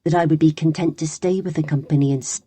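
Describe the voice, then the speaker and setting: Low-voiced, quick woman reading an audiobook. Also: steady tone, dark tone, slightly rough, no room sound. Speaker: audiobook narrator. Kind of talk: scripted first-person fiction narration.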